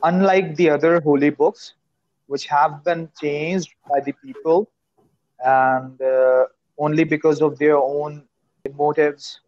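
A man's voice: a little high, fairly even intonation, a little mellow, no echo. Pakistani man on a dull, dry call. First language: Urdu. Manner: measured, with frequent uh pauses. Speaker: English learner and channel host. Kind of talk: casual video call conversation. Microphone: earphone mic.

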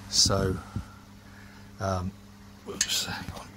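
A man's voice: deep voice, monotone, drawn-out words, whispered, low-quality recording. A man, rambling casually and self-mockingly while filming his home brewery workshop on a handheld phone.

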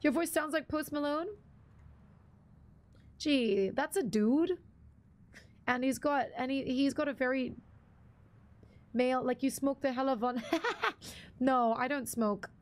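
A young woman with a husky voice, chatting casually with viewers on a game stream, rambling and restarting her sentences. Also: high-pitched, slow pace, pauses often. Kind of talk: casual live-stream chat reading viewer comments.

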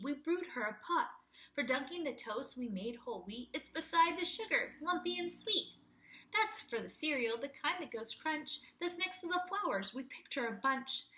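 A young woman with a high, bright voice reads to little children as a teacher would. Her delivery is sing-song and expressive, shaped for a picture book. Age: young adult. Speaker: teacher reading aloud to children.

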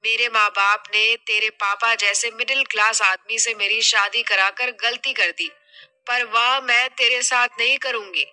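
A scheming, money-minded middle-aged mother played by a woman from an audio drama. She scolds and nags with exasperation in a high, forceful, very bright voice. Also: steady tone, a little slow, faint music behind. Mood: scheming, teasing.

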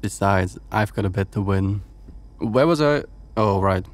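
An actor playing a wry, dutiful officer logging reports on a space station in an audio drama, with dry, teasing delivery. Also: male voice, on the low side, a little slow, lightly acted. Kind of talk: audio drama log monologue and dialogue.

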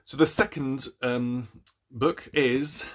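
A man's voice: mid-range voice, fairly even intonation, takes it slowly. A young adult man chatting casually to camera as a book reviewer, in a hesitant, self-deprecating way. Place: small room at home, indoors.

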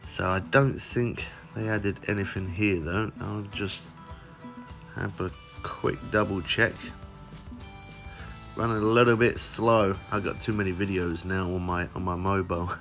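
A man with a somewhat low, croaky voice talks through a fishing game's new shop items in a loose, rambling way, often stopping to correct himself. Faint music plays under his commentary. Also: fairly even intonation, measured pace, fairly bright tone. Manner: chatty, rambling, self-correcting. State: croaky from lack of sleep. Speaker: gaming YouTuber. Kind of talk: casual gameplay walkthrough. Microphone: phone recording mic.

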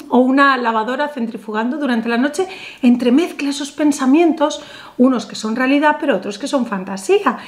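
Woman, 30s, ritual vlogger, animated, slightly high voice, slow emphatic affirmations. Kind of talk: instructional ritual talk to camera. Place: small indoor room with a painted backdrop.

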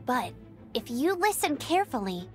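Over game music comes a plucky young knight scout, very high and youthful. She speaks with lively swings, earnest and defiant, a determined game heroine. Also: moderate pace. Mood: resolved, earnest. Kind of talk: video game voice acting.

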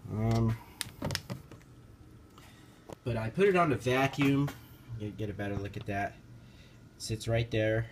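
A man giving a casual, hands-on repair walkthrough in an informal, halting, hesitant way, recorded on a handheld camera. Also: fairly low pitch. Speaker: amateur car repair video maker. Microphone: handheld camera held over the engine. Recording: noticeable background noise, amateur handheld camera.

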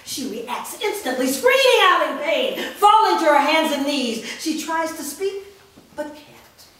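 A woman reading a screenplay's stage directions aloud in a measured, descriptive way at a staged reading, recorded in a large studio from a distance. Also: sits high, very animated, a bit bright. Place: large indoor rehearsal studio. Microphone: far camera mic. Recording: echoey studio from far off.

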